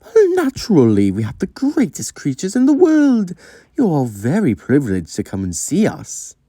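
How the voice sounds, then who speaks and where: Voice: medium pitch, wildly swooping pitch, moderate pace, mellow, slightly rough, no echo, studio quality, voice pushed up. A performer voicing a pompous, grandiose female talking train wagon in a storytelling video, theatrical and self-important. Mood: smug grandiose.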